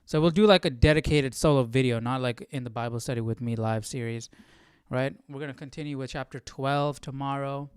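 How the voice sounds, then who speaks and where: Man, casual livestream host, rambling delivery, mid-pitched, smooth resonant voice, very dry sound. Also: a little fast, no echo. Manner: rambling, thinking aloud. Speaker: livestream host of a Bible study series.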